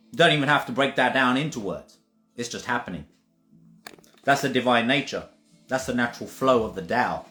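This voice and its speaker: A man's voice: mid-range voice, pushed and bright. A man giving a casual spiritual talk, emphatic and repetitive, sometimes swearing. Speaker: spiritual teacher. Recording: roomy echo with faint music.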